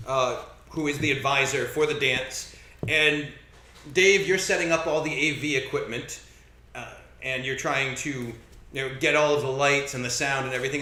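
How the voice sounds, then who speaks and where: A man's voice: fairly high pitch, sharp tone. A man narrating a live tabletop game as game master in a playful, easygoing way. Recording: roomy echo.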